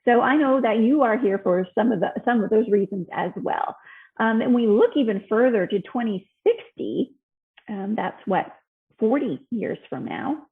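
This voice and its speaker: A woman's voice: on the high side, animated, normal speed, narrow, tinny audio. A woman in her fifties with an American accent, presenting an informal health class in a conversational, explanatory way. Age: 50s. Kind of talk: informal slide lecture.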